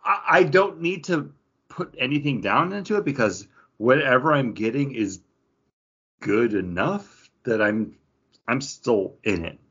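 Male podcast co-host, raspy and bright, emphatic casual talker, slightly dull recording. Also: mid-range voice, expressive, a little slow. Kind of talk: casual chat about mobile games.

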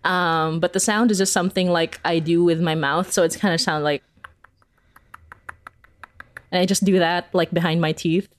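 A woman's voice: fairly low pitch, fairly quick. A woman from the Philippines chatting casually as an interview guest, telling stories in a giggly way peppered with "like". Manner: animated storyteller, uses "like" constantly, giggly. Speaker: podcast creator, interview guest.

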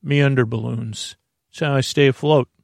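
A man's voice: mid-range voice, fairly even intonation, normal speed, creaky, dry recording, studio quality, close to an everyday voice. A man playing a goofy male inventor who shows off his balloon underwear, saying "me" for "my". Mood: hammy. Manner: hammy, pirate-like "me" for "my".

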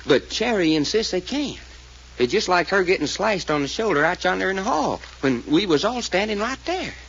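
An excitable Southern good old boy in a vintage radio serial. His voice is fairly high and very lively, full of homespun slang, on a muffled old recording. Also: normal speed, creaky, husky, heavily acted. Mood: puzzled, folksy. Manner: folksy, drawling slang, questioning. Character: folksy, excitable adventurer, a plain-spoken good old boy. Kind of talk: old-time radio mystery serial acting.

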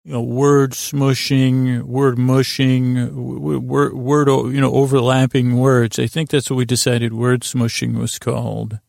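A man hosting a sleep podcast in a rambling bedtime monologue, meandering and halting with stammers, repeats and silly digressions, in a gravelly voice. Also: mid-range voice, flat delivery, a little mellow, dry recording, studio quality.

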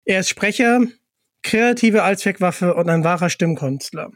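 Young male podcast host, high-pitched, casual interview style, dry acoustics. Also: measured pace, no room sound. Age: 20s.

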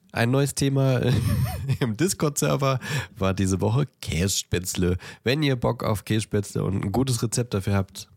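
A man's voice: lowish voice, vocal fry, darkish tone, no room sound, clean studio sound. A man co-hosting a podcast, chatting casually and informally with a teasing streak. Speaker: podcast co-host.

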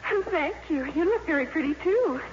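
A woman's voice: extremely high pitch, lively intonation, moderate pace. An actress playing a dreamy, proud teenage schoolgirl with gushing, girlish talk, in 1950s radio style. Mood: dreamy, thrilled. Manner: breathlessly gushing, girlish slang. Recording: muffled, noisy old radio recording.

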